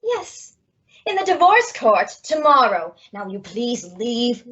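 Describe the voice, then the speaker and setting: Snooty society lady, clipped and condescending, lively pitch, dull old radio sound. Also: measured pace, husky, heavily acted. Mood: icy. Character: haughty, snobbish, scheming society woman. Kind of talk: 1950s radio comedy acting.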